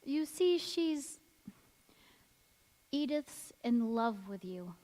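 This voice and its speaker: Lady acting a polite nervy wife in an old radio drama, slow talker, big echoey hall. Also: a little high, lively intonation, dark tone. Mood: uneasy, wistful. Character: polite, anxious, youthful-looking married woman. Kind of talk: radio play acting on stage. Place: large hall, live stage performance. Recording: echoey hall with long reverb.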